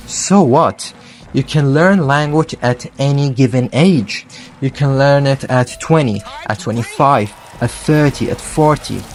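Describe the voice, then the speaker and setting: A man in his twenties from Iraq, earnestly giving spoken advice on learning English, pausing on "uh" and correcting himself as he goes. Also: medium pitch. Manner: earnest and thoughtful, with frequent "uh" and self-corrections. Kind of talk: casual spoken advice to camera.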